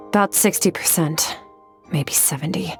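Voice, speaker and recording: An aloof, self-critical young sword fighter from a game. Her voice sits low and moves slowly, in clipped deadpan phrases. Soft music plays faintly underneath. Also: gravelly, exaggerated voice. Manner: terse, detached, deadpan. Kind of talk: video game voice acting.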